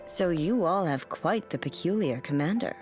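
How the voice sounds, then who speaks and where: A woman voicing a calm, thoughtful woman soldier in a game. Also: lowish voice, moderate pace, lightly acted. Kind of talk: game voice acting. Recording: game audio over faint music.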